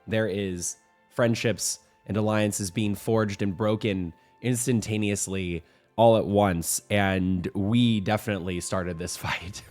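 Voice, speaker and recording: A young man hosting a weekly game news show, a little hoarse from a cold, talking in an upbeat, rambling way. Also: on the low side, steady tone, slow pace, a little mellow, soft background music. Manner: upbeat, rambling, with frequent restarts and fillers. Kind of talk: casual game news commentary.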